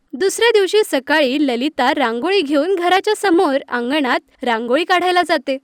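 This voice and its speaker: High-pitched woman narrating children's stories. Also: dry recording, studio quality. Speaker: story narrator. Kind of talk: scripted children's story narration.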